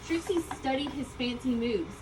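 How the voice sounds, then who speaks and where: A woman reading a children's story aloud, expressively, with deliberate emphasis on key words. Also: sits high, animated. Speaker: storybook reader. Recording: distant mic, loud background ambient noise.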